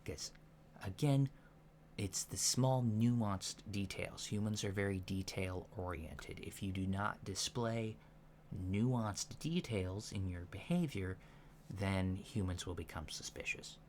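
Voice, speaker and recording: A soft-spoken man in an ASMR roleplay, playing a calm alien instructor with a halting, deliberate, matter-of-fact delivery, in a lo-fi recording. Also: deep voice. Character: calm alien instructor briefing disguised recruits on humans. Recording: lo-fi recording with faint background noise.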